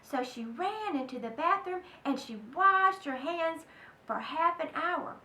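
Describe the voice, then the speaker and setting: A woman's voice: extremely high pitch, lively intonation, a little slow, bright, forceful tone. A woman reading aloud to children in a dramatic, emphatic way, talking directly to her young listeners. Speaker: children's storybook reader. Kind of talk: animated read-aloud to children. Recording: echoey room, distant mic, faint noise.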